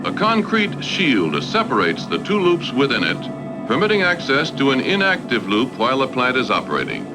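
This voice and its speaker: A man's voice: mid-range voice, huge pitch swings, normal speed. A man reading scripted industrial documentary narration in a formal, expository manner. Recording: archival film with loud music. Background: loud music.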